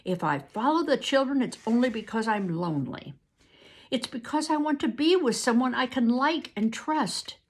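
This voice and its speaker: A woman's voice: a little high, expressive, moderate pace, slightly rough, pitched above the performer's own voice. A woman reading aloud, voicing a desperate young male soldier who pleads earnestly. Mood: pleading.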